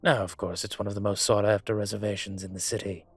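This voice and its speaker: A man acting a suave, older, gentlemanly dragon mafia boss in a romantic roleplay audio drama, courtly and teasing, with a husky voice. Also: on the low side, flat delivery. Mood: relaxed, proud. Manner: unhurried, courtly, teasing, intimate. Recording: music behind the voice.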